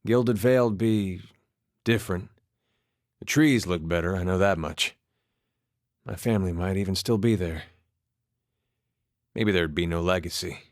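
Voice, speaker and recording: A man's voice: deep voice, measured pace, pauses often, a little mellow, no room sound, clean studio sound. A man voicing a laid-back, wry farmer turned soldier in a video game, with a plainspoken, understated folksy manner. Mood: resigned, wistful. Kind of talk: performed game dialogue.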